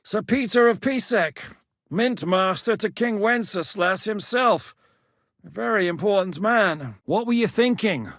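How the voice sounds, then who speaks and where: Blunt, cursing army commander; high, animated, bright tone. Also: male voice, measured pace, dry recording, studio quality, full character voice. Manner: barking orders, coarse and blunt. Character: gruff battle-hardened male war commander. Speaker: military commander in a video game. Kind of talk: game voice lines.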